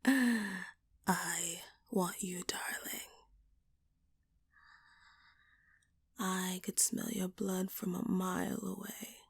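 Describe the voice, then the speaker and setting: A woman playing a dominant, hungry female vampire in an audio roleplay, taunting and menacing her prey in a condescending, breathy voice. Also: on the low side, steady tone, exaggerated voice. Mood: hushed, possessive. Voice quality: breathy, often dropping toward a whisper. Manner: slow, teasing, condescending, menacing. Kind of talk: audio roleplay acting, addressed to the listener.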